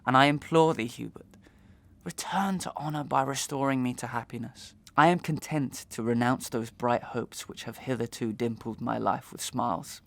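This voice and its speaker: A man playing an anguished, wronged gentleman in a Victorian melodrama, declaiming in archaic, impassioned speech. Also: medium pitch, vocal fry, no room sound, close to an everyday voice. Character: anguished, wronged young gentleman branded a liar and slanderer. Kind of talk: Victorian melodrama audio acting.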